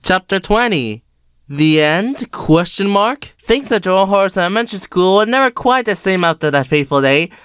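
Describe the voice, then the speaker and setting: A woman reading a children's book aloud with lively, expressive storytelling. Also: mid-range voice, normal speed, smooth, no room sound, clean studio sound. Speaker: storybook reader.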